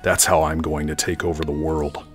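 Deep brooding villain played by a man. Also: flat delivery, husky, soft background music. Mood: grave, grandiose. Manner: dramatic, measured monologuing. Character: brooding, grandiose male villain plotting world domination. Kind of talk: fan audio drama acting.

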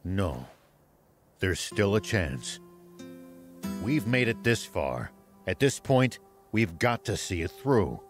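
An actor playing a gruff, clever, resolute old tank driver in a dramatic video game cutscene. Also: male voice, on the low side, very animated, slow pace, pauses often. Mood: calm, resolute. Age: older. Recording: loud music behind.

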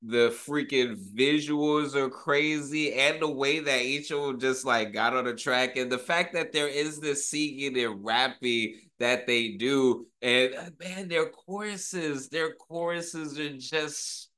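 A man's voice: mid-range voice, slow pace, hardly any pauses, pushed and bright, clean tone. A man in his thirties giving casual, slangy reaction commentary, enthusiastic and full of awe, as a video host. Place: small home room.

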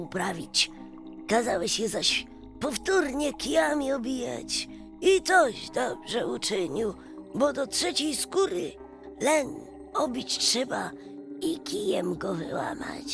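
A woman voicing a patient, wise old male prisoner in a storybook, speaking in a slow, emphatic, creaky way. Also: on the high side, voice pushed up, full character voice. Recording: music behind the voice.

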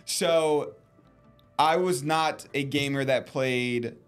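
A man's voice: mid-range voice, slow, clean tone, soft background music. A man in his twenties co-hosting a livestream from a studio, teasing and laughing often while he talks into a headset mic. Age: 20s. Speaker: co-host of a livestream. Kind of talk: casual joking banter. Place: studio with a gaming chair.

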